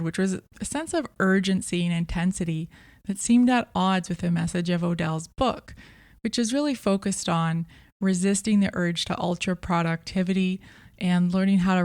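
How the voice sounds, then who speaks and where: Lowish-voiced woman hosting a podcast, dry sound. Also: darkish tone, smooth, dry recording. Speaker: podcast host and audiobook reviewer. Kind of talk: scripted review narration.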